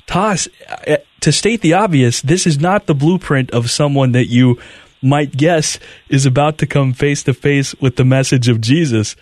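A man's voice: mid-range voice, moderate pace, a little mellow, no echo. Dry-sounding male radio host. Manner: conversational, brief affirmations. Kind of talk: radio interview.

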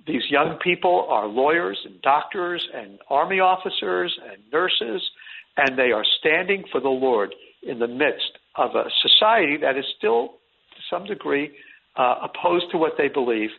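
A man's voice: a little high, animated, moderate pace, gravelly, a little hiss or hum. A middle-aged man speaking as an interview guest, earnest and rambling, restarting his sentences often. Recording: tinny phone line.

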